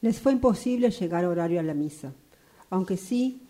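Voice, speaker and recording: A woman's voice: on the low side, animated, normal speed, mellow. A woman reading scripted narration for a radio drama.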